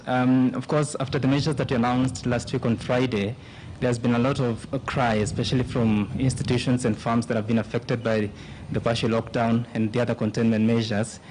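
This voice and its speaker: Reporter guy, normal pitch, polite wordy formal questions, slight background noise. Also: a little mellow, low-quality recording. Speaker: journalist asking questions.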